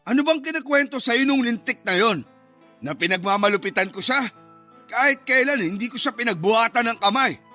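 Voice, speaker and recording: A man's voice: very high voice, expressive, brisk, bright, forceful tone, faint music behind, exaggerated voice. A Filipino actor playing a hostile, domineering older father in a radio drama, indignant and threatening.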